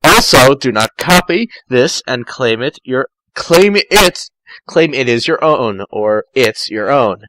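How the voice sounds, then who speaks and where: A man's voice: mid-range voice, pushed and bright, no echo, low-quality recording. A man giving an amateur dramatic story reading, stumbling, correcting himself and dropping in asides. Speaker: fan fiction reader.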